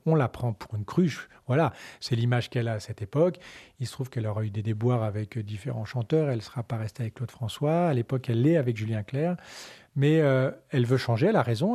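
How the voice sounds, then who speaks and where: Man, interviewee, casual frank chat, hesitant, mellow husky voice. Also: medium pitch. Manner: chatty and frank, with frequent hesitations. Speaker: documentary filmmaker interviewee.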